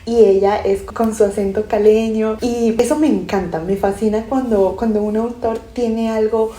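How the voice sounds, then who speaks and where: A woman's voice: fairly high pitch, steady tone, moderate pace, dark tone, roomy sound. A young Colombian woman from Pasto with a Pasto accent, co-hosting a casual book podcast and talking enthusiastically. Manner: enthusiastic, explanatory, frequent restarts. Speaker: podcast co-host.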